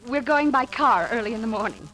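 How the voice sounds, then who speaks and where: An actress playing a trusting, anxious, affectionate wife in an emotional, hesitant 1940s radio acting style. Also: female voice, a little high, lively intonation, fairly quick, close to an everyday voice, low-quality recording. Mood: excited, cheerful. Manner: emotional, hesitant, breaking off and repeating words. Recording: old radio recording, faint noise.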